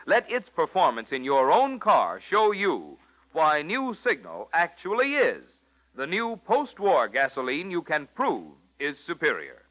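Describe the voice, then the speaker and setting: A man's voice: fairly high pitch, wildly swooping pitch, unhurried. A man announcing in polished, emphatic 1940s radio commercial style, selling as he speaks. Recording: muffled vintage radio recording, faint music.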